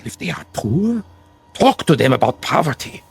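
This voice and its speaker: An actor playing a sinister, commanding dead dictator in a radio drama, with a husky, insinuating, formal delivery. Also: male voice, fairly high pitch, big pitch swings, moderate pace, soft background music, exaggerated voice. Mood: instructive, impassioned. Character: sinister dead dictator, coaxing a follower in demagoguery. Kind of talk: vintage-style radio drama acting.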